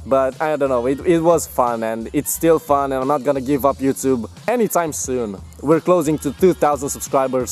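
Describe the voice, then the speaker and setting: A man's voice: medium pitch, a little mellow. A young man from Romania with a Romanian accent, talking casually to camera as a vlogger and rambling with many fillers and restarts.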